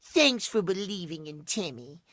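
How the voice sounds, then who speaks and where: A cutesy, childish clock boy from a video game, high in pitch and slow to get through his words. He is needy, pouty and playful, bouncing up and down in tone as he talks about himself in the third person. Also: big pitch swings, fairly bright tone, no room sound, clean studio sound, full character voice. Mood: proud, tender. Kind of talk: animated video game character acting.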